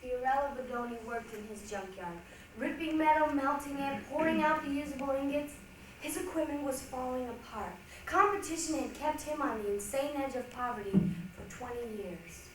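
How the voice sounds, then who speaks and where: A woman's voice: high-pitched, moderate pace. A woman giving dramatic scripted narration in a readers' theatre style. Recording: echoey hall, distant mic, faint noise.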